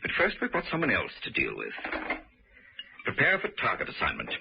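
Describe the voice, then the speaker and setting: An actor playing a suave, coldly authoritative scientist villain in a measured, commanding radio drama style. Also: male voice, mid-range voice, huge pitch swings, vocal fry. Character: coldly authoritative wheelchair-bound male scientist villain, a doctor. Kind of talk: vintage radio drama acting. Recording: muffled, hissy old radio recording.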